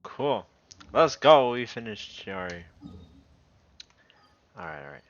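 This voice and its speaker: A man's voice: mid-range voice, sing-song, slow pace, highs cut off. A young man streaming a game live, chatting casually and muttering his reactions to himself.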